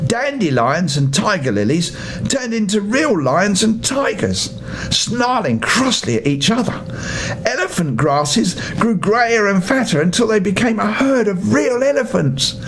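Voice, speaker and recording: Older guy telling a kids story, kinda high voice, super expressive and playful, bit of rumble behind him. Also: poor audio. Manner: theatrical, playful. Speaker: storyteller grandfather. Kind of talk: expressive children's story reading.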